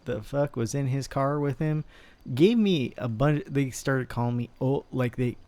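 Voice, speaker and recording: A man's voice: medium pitch, measured pace, dark tone, dry recording. A man in his twenties chatting casually in character during a roleplay, rambling with lots of restarts. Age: 20s. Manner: rambling, self-interrupting storyteller.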